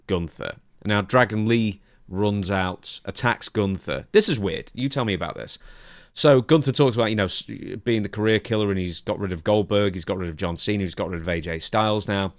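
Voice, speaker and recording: Low-voiced man, casual podcast co-host. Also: dry recording, clean studio sound. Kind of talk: casual podcast chat, recapping events.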